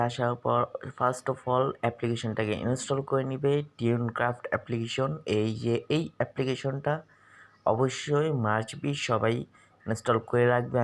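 A man gives an informal tutorial in a medium-pitched voice. He instructs one step at a time and often adds short questions to check that viewers follow.

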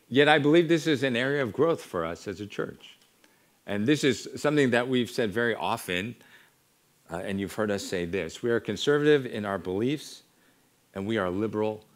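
A middle-aged American man preaching in a measured, earnest manner, building his exhortation on repeated parallel phrases. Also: mid-range voice. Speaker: pastor preaching. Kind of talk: sermon. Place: church sanctuary, at a wooden pulpit.

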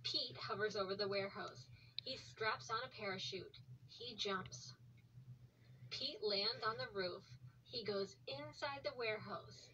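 Woman reading aloud over loud noise. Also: medium pitch, measured pace, highs cut off. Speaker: host reading a picture book aloud. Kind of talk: read-along of a children's story. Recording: noisy, distant home recording.

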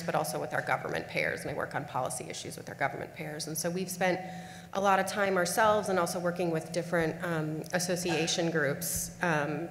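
A woman with a low voice speaks on a panel in a large conference hall. She answers in a measured way, pausing on fillers and restarting her phrases. The sound is roomy, with a long reverb and a faint hum. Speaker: panelist on payer contracting.

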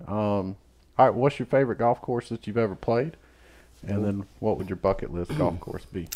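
A man's voice: on the low side, normal speed, dark tone. A man hosting a casual podcast chat in an easygoing, teasing way. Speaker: podcast host. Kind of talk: casual chat about golf.

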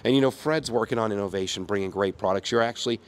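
A man in his sixties from the United States, hoarse from a cold, giving an upbeat folksy sales pitch outdoors. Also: quick pace. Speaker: company owner. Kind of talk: promotional chat to camera. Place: outdoors by a brick wall.

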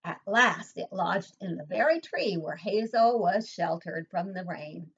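Older woman, preschool teacher, expressive storybook read-aloud, home webcam, muffled lo-fi sound. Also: fairly low pitch, unhurried, husky. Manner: expressive read-aloud, asks children questions. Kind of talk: reading a picture book aloud to children. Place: home room, indoors. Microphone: webcam at desk. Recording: dull lo-fi webcam audio.

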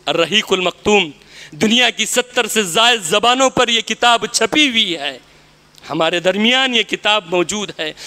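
Fervent high-voiced Indian preacher. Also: male voice, clear, resonant tone. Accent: Indian Urdu. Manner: impassioned, swings between soft low asides and loud high exhortations; repeats his refrains to the congregation, gestures while preaching. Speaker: imam giving the Friday sermon. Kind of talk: sermon. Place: mosque, indoors, tiled wall behind. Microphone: headset mic and stand mic.

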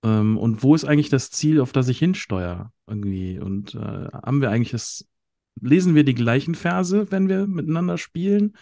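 A man in a casual panel discussion, talking haltingly and repeating words as he searches for them. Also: medium pitch, expressive, mellow, no echo, clean studio sound. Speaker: podcast panelist.

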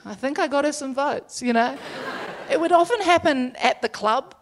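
A woman's voice: high voice, moderate pace, a faint crowd. A young woman with a New Zealand accent telling a stand-up comedy story on stage into a handheld microphone, dry and self-deprecating. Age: young adult. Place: theatre stage, indoors, live audience.

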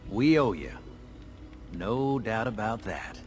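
An actor playing an easygoing, loyal militia mechanic in a video game, talking in a folksy, casual way. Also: male voice, on the low side, animated, takes it slowly, over music.

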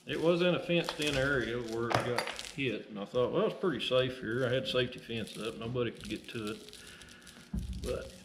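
A man's voice: medium pitch, moderate pace. Rambling working man in a noisy shop. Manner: rambling, self-deprecating, drawling asides. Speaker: excavation business owner. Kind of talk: casual talk while working. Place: metal workshop, indoors.

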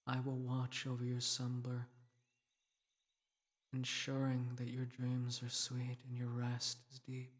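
Guy doing a solemn dream king for a sleep meditation, soft soothing voice, super slow, almost flat, gently protective. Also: mid-range voice, lightly acted. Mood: soothing, gentle. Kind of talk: guided meditation, sleep-aid roleplay.

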